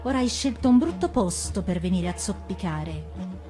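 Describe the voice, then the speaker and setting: A woman's voice: mid-range voice, lively intonation, mellow, soft background music, lightly acted. Woman as a hissing, vengeful cobra. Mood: menacing. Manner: slow, threatening. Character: menacing, vengeful female cobra villain.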